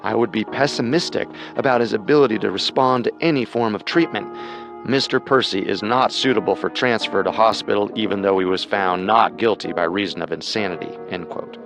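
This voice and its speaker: Low-pitched man narrating true crime. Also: a little fast, gravelly, over music. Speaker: co-host narrator.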